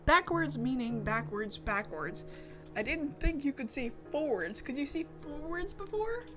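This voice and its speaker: A woman streamer giving casual, expressive commentary as she plays and reads a visual novel, reacting with exclamations. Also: high voice, measured pace. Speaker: streamer doing a playthrough. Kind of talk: casual game commentary, reading visual novel text aloud. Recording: music in the background. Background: game music.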